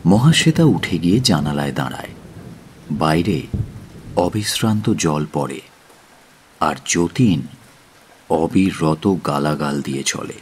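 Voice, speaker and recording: Casting: male storyteller, deep and unhurried, giving dramatic, literary narration in measured phrases. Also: expressive, darkish tone, slight background noise.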